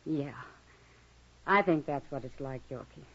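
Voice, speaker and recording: A woman playing a caring, gently firm frontier townswoman in a radio western. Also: sits low, animated, a little slow, exaggerated voice. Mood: gentle. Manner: tender, soothing with a child. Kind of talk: 1950s radio western acting. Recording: dull old radio recording.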